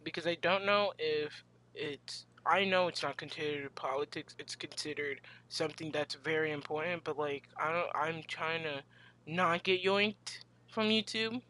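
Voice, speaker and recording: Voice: mid-range voice, steady tone, moderate pace, bright, forceful tone, slight background noise, poor audio. A teenage streamer giving casual gameplay commentary, informal and rambling, reacting aloud.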